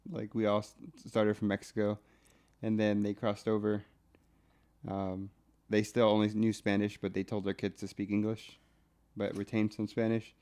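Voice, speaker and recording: Laid-back young man with a lowish voice. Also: monotone, normal speed, mellow, smooth. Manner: easygoing, laid-back, trailing sentences. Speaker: podcast co-host. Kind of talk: casual chat.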